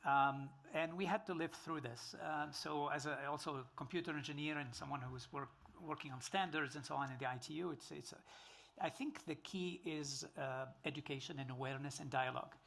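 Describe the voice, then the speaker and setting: A man's voice: on the high side, steady tone, fairly quick, gravelly, fairly bright tone, slight background noise. A middle-aged man with a Tunisian accent, a native Arabic speaker, speaking as a panelist with many fillers and restarts. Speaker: panelist, computer engineer. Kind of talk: panel discussion.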